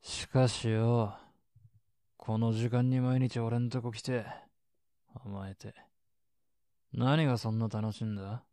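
A man playing a gruff, cool, world-weary mature man, brusque and languid, in a situation voice drama. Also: level tone, slow pace, pauses often, mellow, no room sound, studio quality, close to an everyday voice. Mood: weary, aloof. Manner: low-key, brusque, languid. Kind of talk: Japanese situation voice acting.

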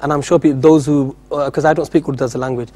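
Quick-talking young man, studio guest. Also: a little high, fairly even intonation, dark tone. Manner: modest, chatty, laughs off questions. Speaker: nasheed singer guest. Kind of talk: casual studio interview. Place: TV studio set. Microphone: handheld mic.

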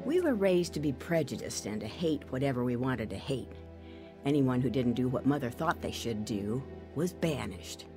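An actress playing a grown woman narrating her painful childhood, measured and reflective, as scripted testimony. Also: a bass voice, sing-song, over music, exaggerated voice.

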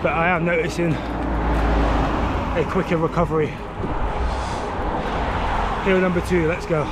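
A man's voice: a little high, little pitch movement, slow, heavy background noise. A man in his thirties vlogging while cycling outdoors, out of breath and talking between efforts into a camera mounted on his bike. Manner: breathless talk between pedal strokes. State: out of breath and sweaty. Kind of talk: casual vlog while riding. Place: outdoors on a road bike, open roads. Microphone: action camera mounted on the bike.

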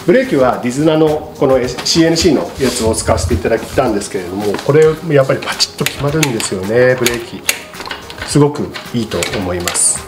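A man's voice: medium pitch, lively intonation, fast, very noisy. A middle-aged man politely explaining a product to camera in a casual, unscripted way, as a shop presenter. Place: small indoor studio with paper backdrop. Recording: roomy echo, music behind.

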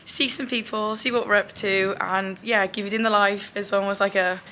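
Hoarse young woman vlogging, bright voice. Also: medium pitch, steady tone, normal speed, slight background noise. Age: young adult. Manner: chatty and upbeat, laughs often. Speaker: athlete vlogger. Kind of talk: casual vlog to camera. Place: outdoor running track and hotel rooms. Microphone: handheld camera at arm's length.

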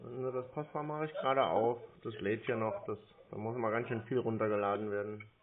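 A man's voice: on the low side, fairly even intonation, a little fast, a crowd in the background. A man on a casual phone call, answering as a clueless customer in a hesitant, colloquial way. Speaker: prank scam-baiter playing a clueless customer. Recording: noisy, muffled phone line with clicks.

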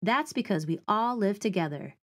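A woman in her forties reads aloud for young children in a medium-pitched voice. Her delivery is deliberate and expressive, with the phrasing of a storybook reading led by a teaching artist.

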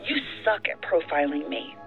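A woman's voice: deep voice, moderate pace, gravelly, faint music behind. A woman playing a tense, stubborn federal agent in an audio drama, exasperated, through a phone filter. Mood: exasperated, defensive. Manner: exasperated, defensive. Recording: tinny, narrow-band phone line.

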